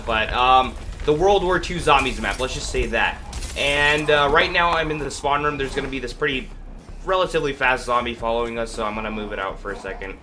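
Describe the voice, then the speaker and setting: A man's voice: mid-range voice, brisk, sharp tone. Sniffly sick man rambling through a walkthrough. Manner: rambling, hesitant, full of fillers. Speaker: gaming video host. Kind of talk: casual gameplay walkthrough. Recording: clear background noise.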